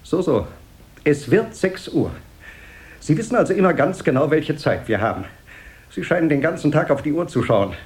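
A man's voice: a little high, animated, normal speed, darkish tone. A man playing a self-important, nagging company director in a radio play, curt and sarcastic, hammering words by repeating them. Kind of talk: 1970s German radio play acting.

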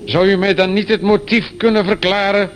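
An actor in a radio play playing a fussy, officious police inspector, insistent and mock-courteous, on a noisy old recording. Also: male voice, sits high, level tone, normal speed, lightly acted. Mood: exasperated, lecturing. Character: officious, exasperated, fatherly male police inspector.

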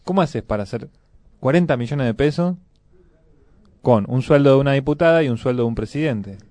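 A man's voice: mid-range voice, animated, a little fast, a little mellow, no room sound. A man with a Buenos Aires accent, bantering casually as a co-host on a radio programme. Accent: Buenos Aires Argentine Spanish.

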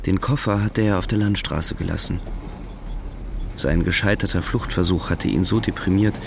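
A man's voice: sits low, moderate pace, vocal fry, noisy background. A man reading scripted literary narration in German as the narrator of a drama.

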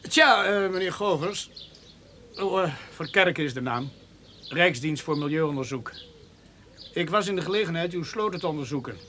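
A man's voice: a little high, lively intonation, normal speed, pauses often, bright, forceful tone, a little hiss or hum. A man playing a formal government inspector in a radio drama, stiffly polite and officious. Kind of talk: Dutch radio drama acting.

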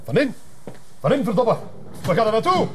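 Police commissioner, Flemish Belgian, placating, harried, officious, hesitant, naturalistic TV drama. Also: male voice, sits high, very animated, normal speed, a little hiss or hum. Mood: exasperated. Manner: hesitant, blustering. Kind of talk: naturalistic TV crime drama acting.

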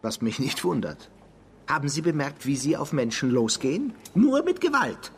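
A man's voice: on the high side, big pitch swings, moderate pace, slight background noise. An actor playing a disgusted, sarcastic man who is made to listen to a confession in a German radio drama, answering with biting indignation. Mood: accusing.

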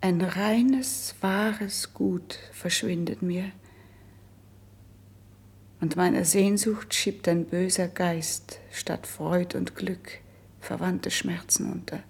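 An actress playing a noble, lovesick young princess in a classical verse radio play, declaiming solemnly and wistfully in a hushed, breathy voice. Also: female voice, on the low side, steady tone, heavily acted. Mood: wistful sorrow. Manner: solemn, wistful, drawn-out poetic phrasing.

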